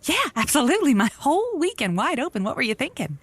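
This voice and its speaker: Folksy, animated radio-comedy heroine. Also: female voice, high voice, fast, breathy edge, dry recording, heavily acted. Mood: nervous, feigned enthusiasm. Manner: folksy, playful, theatrical delivery with homespun sayings. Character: nervous folksy woman who doubles as theatrical love-advice radio cowgirl host. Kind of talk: scripted audio comedy drama.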